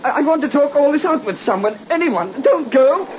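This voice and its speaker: Troubled man, high-pitched, hesitant, pleading, old radio drama, dull noisy sound. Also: animated, moderate pace. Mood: frightened pleading. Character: despairing man crushed by debts and troubles.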